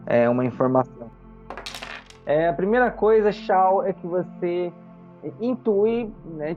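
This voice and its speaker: A game master improvising narration for a tabletop role-playing game, explaining things in halting phrases. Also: medium pitch, dull audio.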